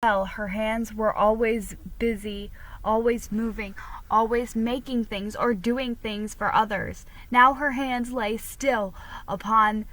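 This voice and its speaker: Female storybook narrator, high-pitched, bright and forceful, slow dramatic reading over music. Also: fairly even intonation, rough recording. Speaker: story reader.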